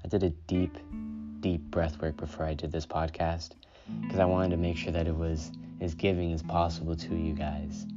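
A man with a very low voice hosts a solo self-care podcast and talks in a calm, unhurried way, often saying "you know". The recording sounds dull and lo-fi. Clear guitar music plays behind him. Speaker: podcast host.

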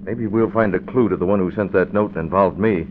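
An American actor playing a decisive, commanding young masked crime fighter in an old radio serial. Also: male voice, deep voice, brisk, natural voice. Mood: purposeful. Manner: urgent, authoritative, decisive. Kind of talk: 1940s radio acting. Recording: muffled, noisy old radio recording.

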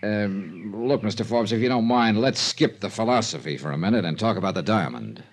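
An actor playing a hard-boiled, wary insurance investigator in a classic radio drama, with an American accent and a wry, clipped, matter-of-fact manner. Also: male voice, lowish voice, animated, brisk. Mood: wary, dry. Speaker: detective narrator and lead. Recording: old radio broadcast recording.

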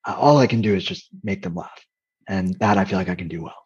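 A man with a soft voice, speaking candidly as an interview guest, hesitant with frequent fillers and restarts. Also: deep voice, fast talker, slightly rough, highs cut off. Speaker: interview guest, comedian and dating coach.

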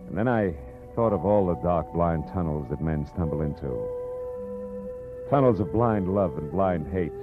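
An actor playing a hard-boiled, world-weary private eye who narrates in a dry, brooding tone, in a 1940s radio drama. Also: male voice, low voice, expressive, moderate pace. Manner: dry, brooding, tough-talking. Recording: muffled old radio broadcast with music.

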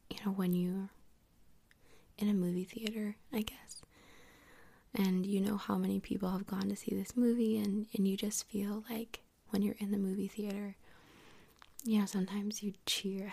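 A woman hosting soft-spoken ASMR in a whispery, breathy voice, rambling gently and unhurriedly close to the microphone. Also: medium pitch. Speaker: host of an ASMR podcast. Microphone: close USB microphone.

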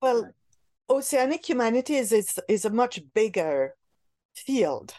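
A woman's voice: on the high side, very animated, a little slow, dark tone, dry recording, clean studio sound. A woman being interviewed about a book she edited. She speaks in an academic way and hesitates often, with many fillers and restarts. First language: not English.

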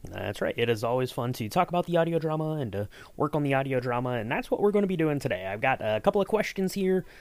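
A man's voice: mid-range voice, lively intonation, fast, dry recording. A man hosting a podcast in a casual, enthusiastic interview chat. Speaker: podcast host.

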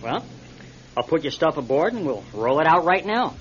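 An actor playing a reluctant, cautious young amateur pilot in a classic radio drama, casual and stammering. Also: male voice, a little high, very animated, moderate pace. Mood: friendly. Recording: dull, slightly noisy radio drama recording.

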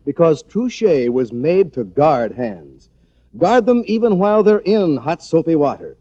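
A male announcer sells in scripted 1940s radio style. His voice is mellow and very varied in pitch. It sounds close and dry on a muffled old recording. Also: sing-song, a little slow, no room sound. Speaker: commercial announcer.

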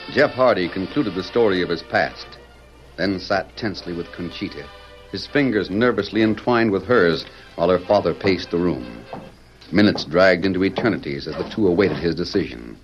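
A low-pitched man narrates a vintage radio drama with formal, theatrical storytelling. The sound is dull and old, with clear music behind him. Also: expressive, moderate pace. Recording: muffled vintage radio broadcast.

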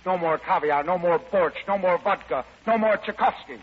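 A man's voice: high voice, normal speed, husky. A man playing a charming, flippant playboy count in an old-time radio drama, on a muffled recording. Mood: indignant.

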